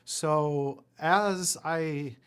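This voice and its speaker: Casting: fairly high-pitched man, forties, Spanish first language, rambling and self-deprecating. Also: extremely slow, clear, resonant tone. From Puerto Rico. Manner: rambling storyteller with frequent fillers and self-deprecating asides. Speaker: guest, lawyer and entrepreneur. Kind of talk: casual interview chat.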